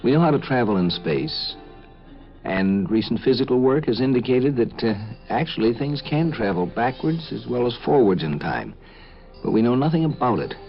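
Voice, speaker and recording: A man's voice: medium pitch, very animated, moderate pace, vocal fry, faint music behind. A man hosting a 1950s science fiction radio show, lecturing in a musing, conversational way. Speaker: host and narrator. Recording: muffled 1950s radio recording.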